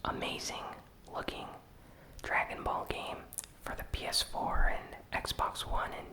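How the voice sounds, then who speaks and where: A gaming news host delivering an ASMR recap in a soft, unvoiced whisper, with pauses between short phrases. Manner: slow, deliberate, pausing between short phrases.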